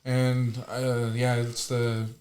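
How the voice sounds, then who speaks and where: A man's voice: medium pitch, completely flat delivery, drawn-out words, darkish tone. A man chatting casually as a podcast guest, plain-spoken and laid-back, with some swearing. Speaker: podcast guest, competitive powerlifter. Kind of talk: casual chat.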